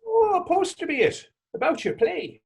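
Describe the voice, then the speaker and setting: A man playing Tommy, a talkative, gentle and wry Irish former fisherman, in staged readers theater dialogue with a folksy, halting storytelling lilt. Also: extremely high pitch, wildly swooping pitch, vocal fry, full character voice. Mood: curious, cautious.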